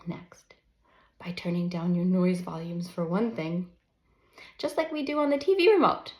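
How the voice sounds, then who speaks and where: A tender storybook mother read by a woman explains patiently to little children while sounding a touch overwhelmed. Her pitch moves freely, with creaky edges on her words, in a roomy echo. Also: lively intonation, unhurried, a little mellow. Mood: encouraging.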